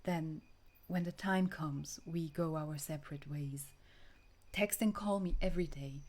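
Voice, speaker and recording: A woman plays a tender, wistful girlfriend in a romantic audio roleplay. Her voice sits a little low and moves very slowly. She speaks softly and breathily to her beloved, often sinking close to a whisper. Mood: tender, resigned. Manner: soft, breathy, often dropping toward a whisper. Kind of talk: intimate romantic audio roleplay, ASMR acting.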